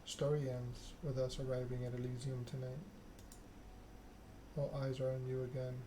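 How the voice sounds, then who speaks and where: Man narrating in a flat ASMR style, noisy background. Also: mid-range voice, moderate pace. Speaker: video game player reading the story aloud. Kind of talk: ASMR game read-along, narration with dialogue.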